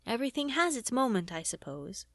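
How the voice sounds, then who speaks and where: A woman's voice: on the high side, big pitch swings, brisk, a bit bright, no echo, studio quality, voice pushed up, full character voice. Woman reading as playful, insistent fantasy lady. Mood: playful, firm. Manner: coaxing, insistent. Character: playful, insistent magical lady, thin and pixie-like.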